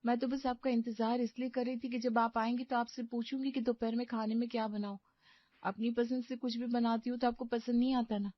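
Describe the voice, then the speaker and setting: A woman's voice: on the high side, little pitch movement, a little fast, no room sound, lo-fi sound, natural voice. A woman narrator voicing a meek, put-upon young daughter-in-law in a cartoon, deferential and hesitant. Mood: meek, startled. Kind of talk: animated moral-story cartoon acting.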